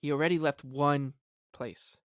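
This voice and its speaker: A man co-hosting a sports podcast, chatting casually in a halting, gleeful, excitable way. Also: a little high, clear, resonant tone, no echo, studio quality. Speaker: podcast co-host. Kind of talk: casual hockey fan chat.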